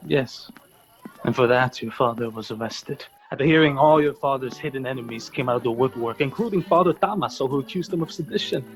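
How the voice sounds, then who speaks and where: An actor playing a grave, honorable lieutenant in a radio drama, speaking in a solemn, measured storytelling way. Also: male voice, mid-range voice, close to an everyday voice, low-quality recording.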